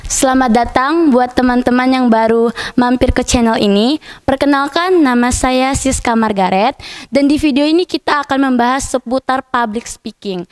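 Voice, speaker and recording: A woman's voice: high-pitched, smooth, no room sound. A woman from Indonesia hosting a student podcast interview, asking questions politely with frequent hesitant fillers. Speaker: podcast host.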